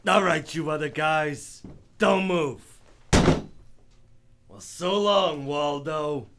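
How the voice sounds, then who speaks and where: A man's voice: on the high side, slow pace. A man playing a drunk, gloating gunman in a radio play, taunting and theatrical, with faint noise behind him. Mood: gloating, menacing. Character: gloating drunk male gunman, mocking and menacing.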